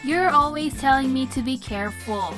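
A woman voicing a curious, cheeky little girl in an animated drama, precocious and mock-serious. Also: high-pitched, normal speed, soft background music.

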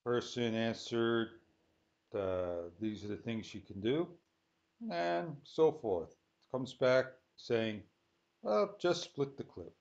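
Male tutorial host, unhurried and halting, thinking aloud step by step; muffled, low-fidelity audio. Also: animated, vocal fry, darkish tone. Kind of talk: unscripted screen-recorded tutorial. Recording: dull lo-fi sound.

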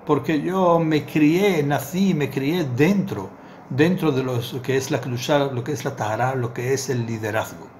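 A man's voice: medium pitch, moderate pace, darkish tone, a little hiss or hum. A middle-aged man, a rabbi, giving a Torah lesson in a small study. He tells a parable earnestly and repeats words for emphasis, recorded on a desk webcam. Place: small indoor study with bookshelves.

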